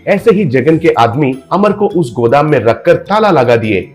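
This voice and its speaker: A man's voice: a little high, big pitch swings, measured pace, a little mellow, faint music behind. A man narrating a scripted moral story for a cartoon.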